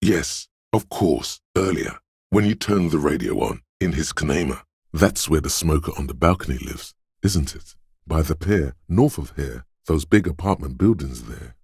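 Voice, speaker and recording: A man voicing an alert, streetwise inner voice of quick reflexes in a video game, narrating dramatically and knowingly to the listener, very deep, gravelly and husky. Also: darkish tone, no echo, clean studio sound, exaggerated voice. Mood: shrewd, probing. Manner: knowing, conspiratorial, addresses listener as you.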